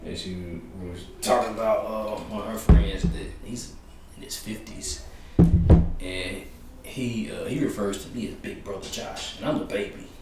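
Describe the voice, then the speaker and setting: A man hosts a podcast in a low voice, telling stories at an easy pace. He sounds far from the mic in a very echoey room with faint hiss. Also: unhurried, over loud music. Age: 30s. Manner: relaxed, storytelling with quoted voices. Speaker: podcast host. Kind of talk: casual chat. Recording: roomy echo, distant mic, faint hiss.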